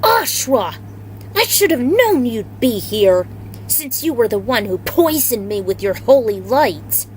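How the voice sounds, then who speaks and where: A woman's voice: on the high side, big pitch swings, unhurried, darkish tone, a little hiss or hum, voice pushed up, rough recording. A woman voicing a cold, wary male ninja assassin with an indignant, questioning manner in a fantasy story. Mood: accusing.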